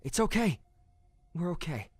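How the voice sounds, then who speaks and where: A performer playing an earnest, gentle, self-sacrificing young man in an audio drama, with heartfelt delivery. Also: mid-range voice, sing-song, unhurried, husky, no room sound. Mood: reassuring.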